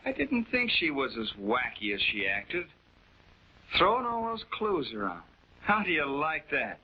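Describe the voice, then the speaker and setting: Blunt detective sidekick, lively swinging pitch, slightly husky, vintage crime drama with dull soundtrack. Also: male voice, a little slow, heavily acted. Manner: blunt, colloquial. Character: plain-spoken, easily puzzled male detective sidekick. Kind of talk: 1940s television crime drama acting. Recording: dull 1940s film soundtrack.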